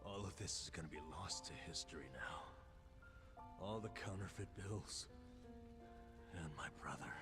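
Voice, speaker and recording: An actor playing a tough, brash, dogged man in a dramatic crime video game, with a gravelly voice. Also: low-pitched, normal speed, pauses often, lightly acted. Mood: grave, bitter. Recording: game audio under loud music.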